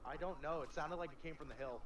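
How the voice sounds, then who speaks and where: A man's voice: on the high side, fast talker, crowd noise. A man on game voice chat, giving tense callouts to his team and cursing constantly. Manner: curses constantly. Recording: distant gameplay clip with busy noise.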